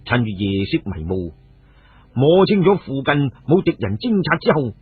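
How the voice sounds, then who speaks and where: A man's voice: mid-range voice, very animated, normal speed, husky, no room sound. A man with a Cantonese accent narrating a scripted story dramatically, as a radio storyteller. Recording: phone-like, muffled radio audio with music. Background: background music.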